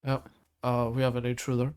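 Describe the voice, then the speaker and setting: A man co-hosting an online racing league show, asking drivers post-race questions in a friendly, halting way over a voice call. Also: mid-range voice, completely flat delivery, a little mellow, clean tone. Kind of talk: joking post-race interview.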